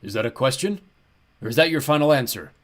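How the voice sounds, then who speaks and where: A man voicing a melancholy giant ram-headed god in an audiobook, booming and bleating, mournful and courteous even when threatening. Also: quick pace, natural voice. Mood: challenging.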